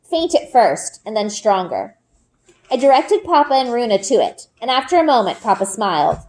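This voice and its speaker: A woman reading a children's book aloud like a teacher, with expressive storytelling emphasis and dramatic pauses. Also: fairly high pitch, rough recording.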